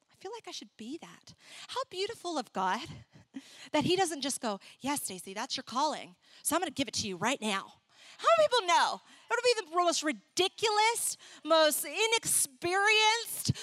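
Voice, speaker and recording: A young adult woman who grew up in Ecuador, preaching and telling her own story in a candid, animated way. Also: very high voice, no room sound. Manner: animated, candid storytelling with laughs and acted asides. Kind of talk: sermon with personal story.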